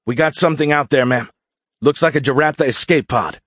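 A man voicing an excitable male pilot in an audiobook, giving eager, brisk military reports. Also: mid-range voice, no room sound, clean studio sound, voice pushed up, lightly acted. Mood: excited.

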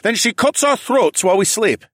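A man's voice: sits high, animated, moderate pace, bright, forceful tone, no room sound, studio quality, voice pushed up, exaggerated voice. A man voicing a suspicious, hostile townsman with a huffy, grumbling manner in an audiobook. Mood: distrustful.

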